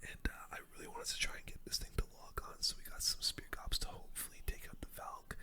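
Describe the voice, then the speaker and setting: A host delivers ASMR gaming commentary entirely in a whisper. The wording is casual and slangy, explaining play as it goes in a breathy, unvoiced hush. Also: quick pace. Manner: whispering throughout.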